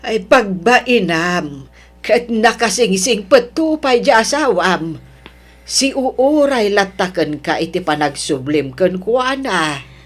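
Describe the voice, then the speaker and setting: A woman with an Ilocano accent playing a protective older mother, fretting and gently scolding in a melodrama. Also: mid-range voice, animated, slow, breathy edge. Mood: urging, comforting. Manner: fretful, motherly, exhorting. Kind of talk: Ilocano radio-style melodrama acting.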